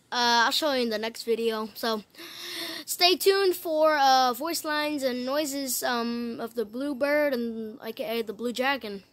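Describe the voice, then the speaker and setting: A high-pitched young-sounding creator hosts a casual homemade video. The talk is slow and informal, with a hesitant way of getting to the point. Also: sharp tone. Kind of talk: casual homemade vlog presenting. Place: family home.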